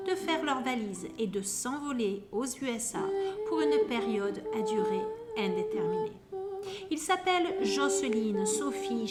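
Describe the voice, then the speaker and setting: A middle-aged woman hosting a podcast from a remote call. Her voice sits high and swings widely, bright and upbeat as she welcomes each guest in turn, with music playing loudly behind her. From Marseille, France. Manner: lively, upbeat, introduces guests by name. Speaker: podcast host. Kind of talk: hosting a group chat.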